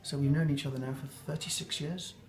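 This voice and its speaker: Older English man, higher-pitched, reflective interviewee who rambles and restarts. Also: fairly even intonation, fast, a little hiss or hum. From England. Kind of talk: casual interview answers.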